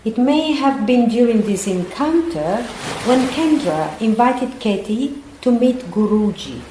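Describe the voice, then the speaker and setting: An actress playing a solemn, measured narrator recounting an apocalypse in an audio drama. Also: female voice, fairly high pitch, animated, background noise. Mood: grave. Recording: roomy echo, faint background ambience.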